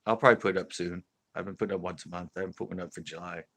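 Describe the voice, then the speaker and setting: A man with a deep, low voice talks casually on a live stream for his fishing channel. His tone is bright and forceful, and the sound is close and dry. He rambles, laughs often and circles back with fillers and restarts. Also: steady tone, very fast, gravelly, dry recording.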